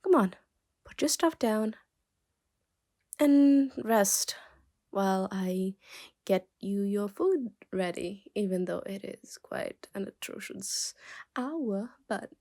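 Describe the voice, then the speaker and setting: Female actor as possessive yandere captor, ASMR roleplay: unhurried, dark soft tone, smug and menacing, slipping into whispers. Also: medium pitch, expressive. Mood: stern, indulgent. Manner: slow, teasing, drifts into breathy whispers. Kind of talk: ASMR roleplay, addressed to the listener.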